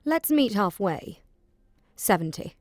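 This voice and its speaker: A woman's voice: mid-range voice, very animated, slow pace, dry recording, clean studio sound. An actress voicing a cold, imperious elven lady who owns a mine.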